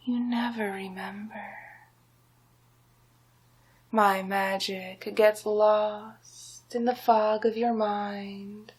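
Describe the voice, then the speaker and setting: A woman voicing a scheming, domineering male sorcerer villain in a slow, breathy, often whispered ASMR roleplay. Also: mid-range voice, darkish tone. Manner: slow, drawn-out, menacing, hypnotic.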